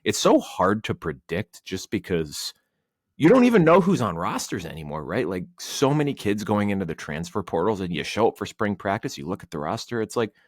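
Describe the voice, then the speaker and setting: A man's voice: fairly low pitch, very animated, a little fast, dry recording, clean studio sound. A man guesting on a sports podcast, talking through college football analysis in a rambling way, with plenty of fillers. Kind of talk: casual sports talk.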